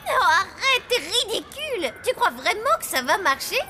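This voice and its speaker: A woman voicing an expressive youngster in a French children's cartoon dub. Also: extremely high pitch, normal speed, very noisy. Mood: exasperated.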